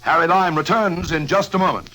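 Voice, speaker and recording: A man's voice: a little high, a little fast, pushed and bright, faint music behind, low-quality recording. A man announcing a vintage radio drama in a dramatic, projected style.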